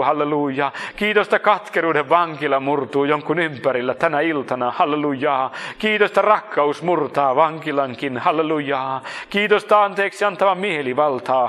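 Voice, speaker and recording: A man's voice: on the high side, a bit bright. A man leading a fervent charismatic prayer as a preacher, repeating exclamations of praise and thanks. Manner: repetitive, exclaiming hallelujahs and thanks.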